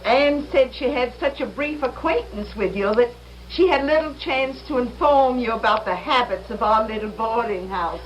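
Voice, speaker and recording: A woman's voice: fairly high pitch, animated, normal speed, lo-fi sound, slight background noise, close to an everyday voice. An actress playing a courteous, apologetic boarding-house landlady in an old-time radio drama, with polite, formal phrasing.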